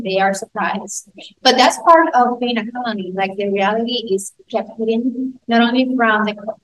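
A woman hosting a podcast in English, her second language after Spanish. Her voice sits a little high, and she talks haltingly, with frequent ums and restarts. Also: low-quality recording. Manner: halting, many fillers and restarts. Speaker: podcast host. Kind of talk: casual interview.

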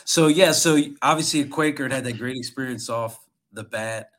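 A man's voice: mid-range voice, moderate pace, fairly bright tone. A man from Philadelphia who hosts the podcast and runs a company, interviewing a guest in friendly, affirming chat and often stumbling over his words. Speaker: podcast host, company CEO.

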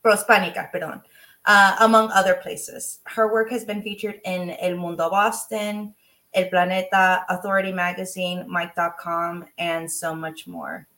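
An enthusiastic woman hosting a conversational podcast. She talks effusively, switching easily between English and Spanish phrases. Her delivery is full of excitement and small ums. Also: on the low side, measured pace. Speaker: podcast host. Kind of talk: warm podcast interview, mixing in Spanish phrases.

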